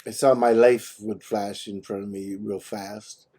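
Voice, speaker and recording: A man's voice: lowish voice, fairly even intonation, a little slow, mellow. An older man chatting casually on the phone, telling anecdotes in a blunt, salty way with chuckles. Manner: blunt, salty, chuckles through his stories. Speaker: phone call participant recounting his medical history. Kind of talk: casual chat, anecdotal storytelling. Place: phone conversation.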